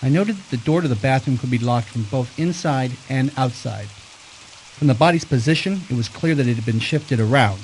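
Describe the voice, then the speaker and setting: A man's voice: mid-range voice, normal speed, slight background noise, natural voice, rough recording. A man as a wry, cool-headed private detective. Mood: dry, narrating. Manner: dry, unflappable, wry. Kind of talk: first-person detective narration and dialogue in audio drama.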